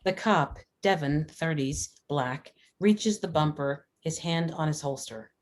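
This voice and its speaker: A woman's voice: low-pitched, measured pace. A woman reading scripted stage directions as narrator at a screenplay table read.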